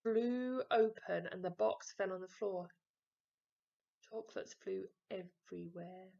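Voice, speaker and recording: A woman storyteller with a creaky voice, narrating a children's story expressively. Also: mid-range voice, slow, dark tone, lo-fi sound.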